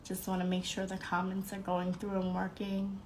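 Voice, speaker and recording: A woman's voice: mid-range voice, completely flat delivery, moderate pace, a little mellow, slight background noise. A young woman hosting a live podcast stream, congested and a little nasal, bubbly and greeting viewers by name between fillers. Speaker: podcast host. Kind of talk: casual live-stream chat.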